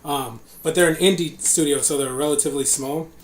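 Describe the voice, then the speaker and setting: A man's voice: a little high, steady tone, brisk. A young man hosting a casual podcast, rambling with fillers and laughing often. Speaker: podcast host. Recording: echoey room, faint noise.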